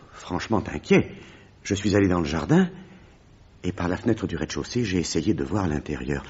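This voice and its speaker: An actor playing a shaken, urgent village pastor in a French radio drama. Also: male voice, low voice, darkish tone, breathy edge, exaggerated voice. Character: agitated male village pastor, shaken and breathless with alarm. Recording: dull, muffled vintage radio recording.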